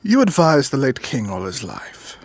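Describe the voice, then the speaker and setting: A man's voice: mid-range voice, very animated, normal speed, no room sound. A man playing a middle-aged royal lord with ambition in a modern audio drama, stately, formal and self-important. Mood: disapproving. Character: ambitious, pompous royal uncle, the late king's brother.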